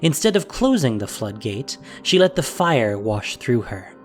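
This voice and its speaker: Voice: mid-range voice, very animated, unhurried, soft background music. A narrator reading a scripted fantasy story in a dramatic audio drama style.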